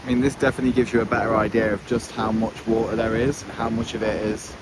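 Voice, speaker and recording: A man's voice: on the low side, level tone, quick pace. A man in his thirties chatting casually as a vlog host outdoors beside a flooded canal. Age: 30s. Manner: chatty, commenting on the scenery. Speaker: vlogger host. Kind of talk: casual walk-and-talk vlog. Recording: outdoor recording with loud wind noise.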